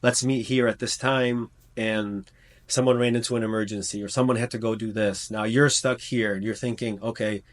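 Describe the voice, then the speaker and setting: Man, american, low voice, casual interview talk, fillers and restarts. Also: steady tone, moderate pace. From United States. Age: middle-aged. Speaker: orthopedic trauma surgeon, guest. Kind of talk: interview answers, conversational.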